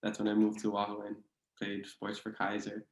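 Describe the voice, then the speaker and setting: A young man from Hawaii, a native Hawaiian speaker, chatting easily as a guest. His voice sits fairly low, and he drifts through his thoughts with many ums and restarts. The room around him rings noticeably. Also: moderate pace, roomy sound. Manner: relaxed, rambling, many fillers and restarts. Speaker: podcast guest. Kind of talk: casual interview chat.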